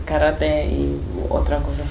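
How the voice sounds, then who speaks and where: A woman whose first language is Kurdish, answering interview questions in halting Spanish with many pauses and fillers. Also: sits low, completely flat delivery, gravelly, warm tone. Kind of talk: casual interview. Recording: echoey, dull and noisy room recording.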